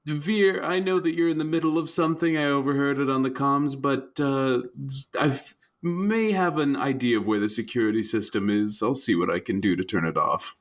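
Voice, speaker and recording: A man's voice: mid-range voice, moderate pace, pitched above the performer's own voice, close to an everyday voice. Man acting as a courteous, suave heist operative. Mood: courteous, pleased. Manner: genteel, polite, conspiratorial over comms. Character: suave, courteous male heist operative who loves shrimp cocktail.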